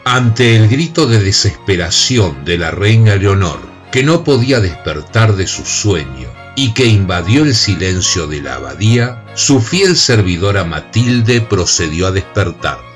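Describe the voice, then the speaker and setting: A man's voice: fairly low pitch, very animated, unhurried, hardly any pauses, music in the background. A man narrating a historical radio drama in a scripted, dramatic style.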